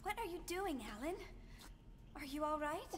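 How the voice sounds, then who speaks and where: A woman's voice: high voice, normal speed, heavy background noise, natural voice. An actress playing a caring young woman engineer who leads her team in a video game. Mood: worried. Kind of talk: English video game voice acting.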